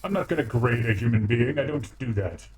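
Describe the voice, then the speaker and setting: A man's voice: medium pitch, animated, a little fast, darkish tone. A man streaming live who plays a game and makes joking, sarcastic remarks. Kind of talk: casual live-stream commentary.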